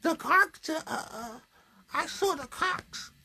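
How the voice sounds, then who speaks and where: A man's voice: very high-pitched, animated, takes it slowly, creaky, pushed and bright, voice pushed up, full character voice. A man voicing a chatty, sassy young girl puppet who tells stories and complains in colloquial speech. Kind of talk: improvised puppet show storytelling.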